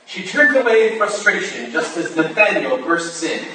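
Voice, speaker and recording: Male stage-directions reader, higher register, scripted narration, distant mic, noisy reverberant live room. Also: very animated, moderate pace. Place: roomy hall at a live reading. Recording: echoey room from far off, noisy.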